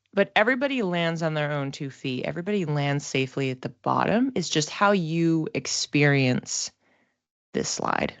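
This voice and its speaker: A woman giving a casual, informal tarot reading as a psychic, reflective and halting, often giggly as she talks. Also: low voice, no room sound.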